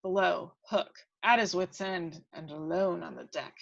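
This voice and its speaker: Female narrator for screen action, dramatic and very unhurried, slightly muffled, very dry mic. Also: fairly low pitch, creaky, no room sound. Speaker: script narrator. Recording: dull lo-fi, dry microphone.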